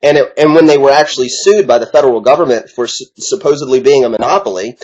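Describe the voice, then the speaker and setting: A man's voice: medium pitch, fast talker, darkish tone. Thoughtful man on a lo-fi panel. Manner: thoughtful, searching for words. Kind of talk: casual panel discussion. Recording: dull lo-fi sound.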